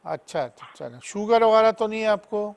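A middle-aged male hakeem hosts a call-in health show. His voice sits high for a man, and he fires off short routine questions in a brisk way. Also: animated, vocal fry, dull audio. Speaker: hakeem host answering callers.

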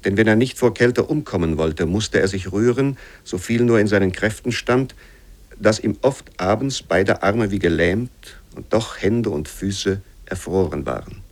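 Male narrator for literary radio drama, lower register, measured and formal delivery. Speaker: narrator reading literary prose aloud.

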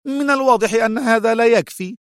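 Man voicing a high-pitched, stern, nervy female official. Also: quick pace, no echo, clean studio sound. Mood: stern, angry. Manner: sharp, commanding, tense. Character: stern, shrill, nervy female national security adviser. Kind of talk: dramatised audiobook storytelling.

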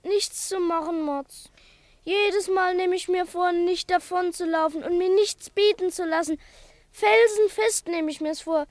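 A woman's voice: very high-pitched, steady tone, measured pace, a little mellow, dry recording. A woman playing a timid small schoolboy who is ashamed of his cowardice, in 1950s radio play acting with a plaintive, self-doubting manner. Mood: rueful. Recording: dry studio recording.

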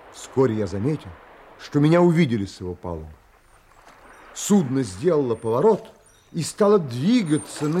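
An actor playing an earnest, educated castaway who narrates his sea adventure in an audio drama, deliberate, courteous and expressive. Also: medium pitch, a little hiss or hum, natural voice.

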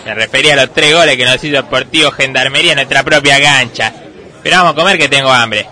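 A man's voice: mid-range voice, fast, a crowd in the background, close to an everyday voice. A man playing a bossy football coach in a comedic radio sketch, ordering his players around.

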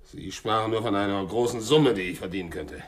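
An actor playing a bitter, vengeful French sailor and former convict in a 1960s German radio crime drama, telling his story haltingly and with feeling. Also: on the low side, natural voice. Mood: sombre recounting. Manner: halting, stammering, emotional storytelling.

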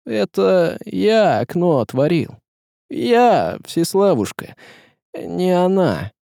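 A man's voice: sits high, very animated, normal speed, darkish tone, no room sound, clean studio sound, voice pushed up. A man voicing a guilt-ridden, downcast tsar in a storybook, confessing apologetically. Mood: crestfallen. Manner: apologetic, crestfallen.

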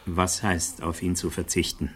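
An actor playing a formal, precise attorney who questions a witness in a German radio play. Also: male voice, low voice, flat delivery, moderate pace, husky. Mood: formal, probing. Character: probing male defense attorney questioning a witness.

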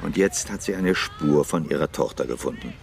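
An actor playing a self-assured male investigator in a radio crime drama, direct, inquisitive and deliberate. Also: extremely low pitch, animated, creaky, a little hiss or hum, full character voice. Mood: probing, assured.

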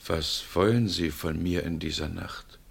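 An actor playing a frightened man woken at night in a radio play, speaking quietly, deliberately and clearly with a gravelly voice. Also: low-pitched, heavily acted. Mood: hushed dread. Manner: slow, deliberate, apprehensive. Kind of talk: 1960 German radio play acting.

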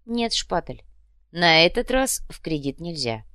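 A wry, canny dealer in stolen goods read by a woman in a storybook style. He needles dryly and keeps an eye on the money, with lively intonation and a close, dry sound. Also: on the low side, fairly quick, dry recording. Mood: regretful haggling. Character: shrewd, wry male trader and fence, money-minded. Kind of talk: audiobook character voice.

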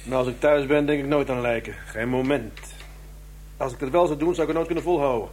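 A man's voice: mid-range voice, quick pace, darkish tone, slight background noise, close to an everyday voice. A man playing a businesslike, observant police detective in a Dutch radio crime drama, speaking in a matter-of-fact way. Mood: firm.

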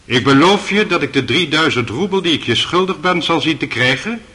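An actor playing a stern, authoritative presiding judge in a radio play, formal and commanding. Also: male voice, on the high side, lively intonation, brisk, bright, forceful tone. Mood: formal, measured.

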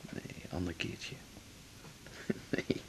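An actor playing a tender, wistful musician lover, murmuring hesitantly in a naturalistic radio play. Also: male voice, drawn-out words, in a whisper, poor audio. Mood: tender, playful. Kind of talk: naturalistic radio drama acting. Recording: faint hiss on 1980s radio recording.

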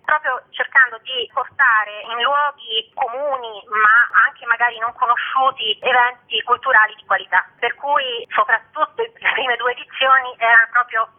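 A woman explaining things as an interview guest, with hesitant pauses mid-phrase. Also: fairly high pitch, steady tone. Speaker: festival organiser, interview guest. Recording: tinny, narrow telephone line.

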